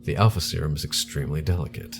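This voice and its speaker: An actor playing a grave, formal scientist in an audio drama, speaking in a measured, deliberate way. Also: male voice, rumbling low, gravelly, over music. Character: grave, measured male scientist heading a bioengineering department. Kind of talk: audio drama acting, interview.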